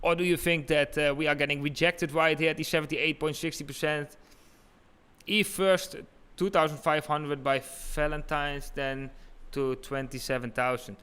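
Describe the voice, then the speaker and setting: A man's voice: fairly high pitch, fairly even intonation, moderate pace, a bit bright, clear, resonant tone. A man with an eastern Dutch accent, a native Dutch speaker, giving informal live chart commentary and talking directly to his viewers as a livestream host. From eastern Netherlands. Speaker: crypto trading livestream host.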